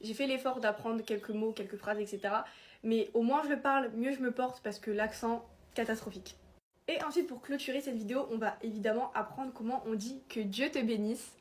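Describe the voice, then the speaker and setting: A young woman speaks with a slight Italian accent in a fairly high voice. She chats casually to her viewers, stumbles and restarts often, and laughs off her own mistakes. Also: steady tone, fairly quick. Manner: chatty, self-deprecating, frequent restarts and fillers. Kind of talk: casual explainer talking to camera.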